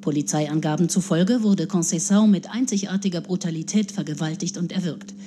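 An actress playing a sober newsreader delivering a formal, factual crime bulletin within a radio drama. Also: female voice, on the low side, brisk, mellow, faint music behind, close to an everyday voice. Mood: grave, factual.

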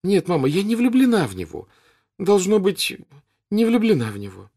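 A man playing a squealing excitable teenage girl. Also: high voice, moderate pace, husky, pitched above the performer's own voice, heavily acted. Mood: pleading. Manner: breathless, squealing, insistent.

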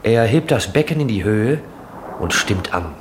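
A man reading literary narration for a 1960s German radio drama in a measured, descriptive manner. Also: lowish voice, expressive, background noise.

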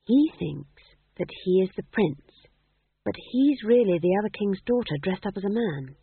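A timid young witch from a storybook, played by a man, pleading and hesitant. Her voice is high and lilting, over thin phone-like audio. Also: animated, normal speed, vocal fry, breathy edge, no echo, full character voice. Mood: wretched, placating. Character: timid anxious young witch, patiently placating her elder.

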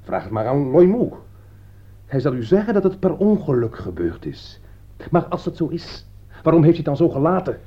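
A man's voice: a little high, sing-song, normal speed. A man acting in a radio play as an anxious, indignant friend turned accuser, agitated and reproachful. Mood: indignant, accusing. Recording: dull lo-fi radio recording.

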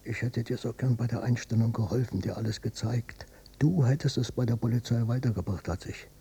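A man's voice: mid-range voice, a little fast, creaky, warm tone, breathy edge. A male actor playing a bitter, stubborn old father, a former policeman, brooding and obstinate, in a German radio drama. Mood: bitter, wistful. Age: older. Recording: noisy cassette copy of radio play.

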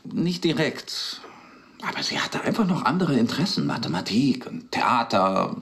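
A man's voice: mid-range voice, sing-song, moderate pace, creaky, fairly bright tone. An actor playing a weary, melancholic middle-aged dentist in a radio play, confiding hesitantly and with resignation in his rabbi. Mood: wistful, confiding. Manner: hesitant, brooding, resigned, with sighs. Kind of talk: naturalistic radio play dialogue.

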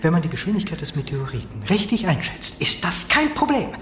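An actress in a German radio play as a dreamy, daring woman, speaking in a soft, musing, playful way. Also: on the low side, big pitch swings, brisk, a little hiss or hum.

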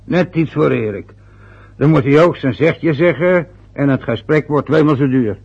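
Gravelly, grumbling older father. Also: on the high side, normal speed. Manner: boastful, grumbling, self-important. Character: aggrieved, self-important, boastful older father, former ambulance driver. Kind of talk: radio drama acting, long rambling monologues. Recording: dull, lo-fi old radio play recording.

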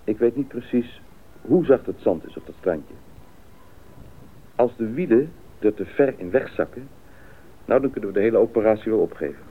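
An actor playing a decisive expedition pilot in a Dutch radio drama, speaking in a deliberate, businesslike way. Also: male voice, on the low side, animated, breathy edge. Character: decisive male expedition pilot leading the planning. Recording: muffled narrowband radio play recording.

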